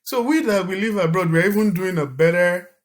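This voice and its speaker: A man's voice: high-pitched, fairly quick. A middle-aged man with a Nigerian accent, talking as a guest in a conversational interview and often repeating and restarting his words. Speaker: podcast guest.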